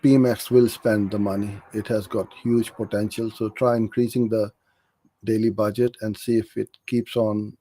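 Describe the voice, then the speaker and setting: A middle-aged man from Karachi speaks in a low, soft-edged, relaxed voice. He works through questions like an informal coach, giving practical, frank explanations. The sound is dry and close, with very little room on it. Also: no echo. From Karachi, Pakistan. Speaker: digital marketing agency owner hosting a live Q&A. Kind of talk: informal live Q&A coaching, reading out questions and answering.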